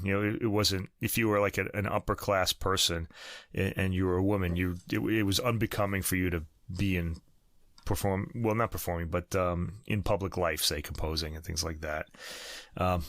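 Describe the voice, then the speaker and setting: A man's voice: on the low side, fairly bright tone, no room sound, studio quality. A man hosting a podcast, chatting casually about classical recordings, rambling with many fillers and restarts. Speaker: podcast host.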